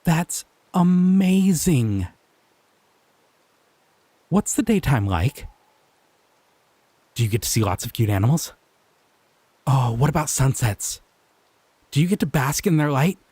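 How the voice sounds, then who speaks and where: A man voicing a gentle, curious male slime creature in an audio roleplay, talking sweetly and bashfully. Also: medium pitch, very animated, slow pace, long pauses, breathy edge, no room sound, exaggerated voice. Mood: amazed.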